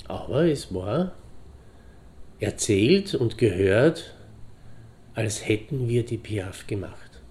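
An actor performs a middle-aged man, a wistful amateur horseman in an audio drama. His voice is low and soft-edged, and he speaks very slowly in halting, deliberate phrases. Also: sing-song. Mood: wistful, matter-of-fact. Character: pensive divorced hobby horseman fondly remembering a friend. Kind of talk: reflective anecdotal monologue in an audio drama.

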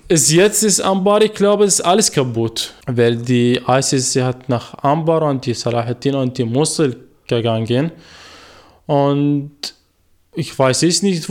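Man, twenties, from Iraq, halting learner's German, frequent fillers, radio interview guest. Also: mid-range voice. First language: Arabic. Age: 20s. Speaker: guest, former journalist.

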